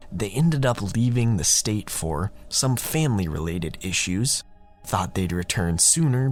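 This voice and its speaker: Guy narrating a creepy story, casual and wry, takes his time, low voice. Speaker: narrator and podcast host. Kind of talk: scripted horror storytelling.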